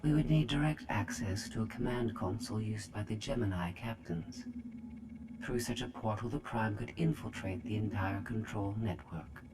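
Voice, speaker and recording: A woman's voice: rumbling low, normal speed, very noisy. A woman voicing a cold, calculating female AI droid, precise and clipped, through a robotic filter. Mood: clinical.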